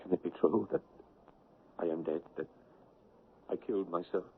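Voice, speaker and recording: An actor in a 1970s radio drama playing a bewildered, anguished man, halting and stammering as he speaks. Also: deep voice, steady tone, vocal fry. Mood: bewildered, fearful. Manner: halting, stammering, repeating words. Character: anguished, haunted man newly dead, bewildered and lonely. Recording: noisy, narrow-band 1970s radio recording.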